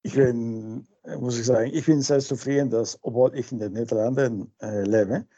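A man from Peru, a native Spanish speaker with a Peruvian Spanish accent, answering interview questions informally and haltingly, searching for words. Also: mid-range voice, steady tone, mellow. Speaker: interview guest. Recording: dull lo-fi sound.

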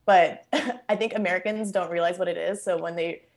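A woman's voice: lowish voice, fairly even intonation, brisk. A young woman born in Bangkok and raised in Los Angeles, chatting casually as an interview guest at home, full of fillers and easy laughter. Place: at home, kitchen nearby.

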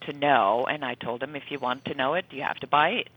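A woman's voice: extremely low pitch, brisk, fairly bright tone, husky, no echo. A middle-aged woman from Germany with a German accent, talking emphatically and conversationally as an interview guest over a remote connection. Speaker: guest author and entrepreneur. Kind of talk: interview storytelling. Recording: dull band-limited radio line.

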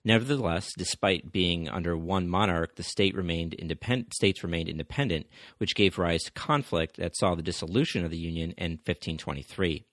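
A man's voice: low-pitched, a little fast, fairly bright tone, dry recording, clean studio sound. A man hosting a history podcast, reading a scripted narration steadily, with the odd stumble and restart. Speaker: podcast host.

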